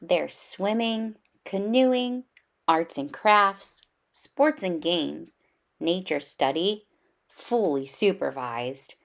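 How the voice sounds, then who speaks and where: A woman's voice: lowish voice, lively intonation, very slow, gravelly, exaggerated voice. A woman voicing a kindly, patient mother bear in a children's storybook, with a coaxing and encouraging manner. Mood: reading aloud enticingly.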